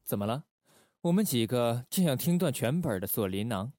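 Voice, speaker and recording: A man playing a shrewd, authoritative secret-service chief in a Chinese audio drama, measured and wry. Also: mid-range voice, expressive, dark tone, dry recording, studio quality, natural voice. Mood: probing. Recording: music bed behind the voice.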